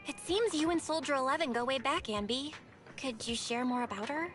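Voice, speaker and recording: Higher-pitched earnest young woman. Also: a little fast, a bit bright. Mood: gentle.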